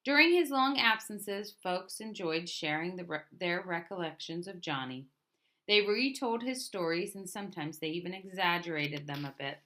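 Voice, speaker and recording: A woman reading a picture book aloud as a storyteller, in a deliberate manner with emphatic openings to her phrases. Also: on the low side, lively intonation, sharp tone. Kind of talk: scripted picture book narration.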